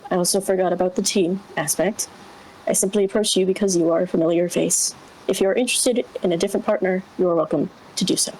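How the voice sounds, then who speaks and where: A woman voicing a reticent alien fighter with a stiff, formal, deadpan manner in a dramatic reading. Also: lowish voice, fast, slight background noise, lightly acted, low-quality recording. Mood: awkward, formal.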